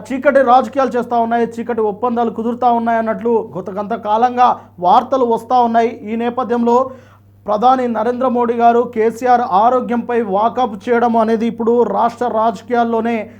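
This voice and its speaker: Very high-voiced man presenting political news. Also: a little mellow. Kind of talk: news commentary.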